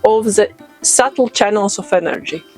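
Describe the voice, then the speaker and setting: A middle-aged woman with a Latvian accent, a yoga expert answering interview questions in a thoughtful way, pausing often with fillers. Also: medium pitch, a little mellow, faint music behind. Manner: thoughtful, with frequent pauses and "uh" fillers.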